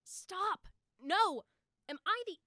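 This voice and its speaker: A woman's voice: extremely high pitch, lively intonation, slow, noisy background, exaggerated voice. A young woman playing a bossy, theatrical young god who hosts a talk show, easily exasperated. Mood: alarmed, scolding. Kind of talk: amateur audio drama acting.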